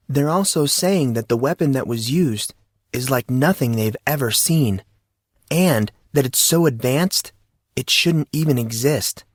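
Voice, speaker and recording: A man's voice: mid-range voice, no echo, clean studio sound, pitched above the performer's own voice, lightly acted. A man voicing a chatty, well-informed teenage schoolboy in an audiobook, explaining things casually and eagerly.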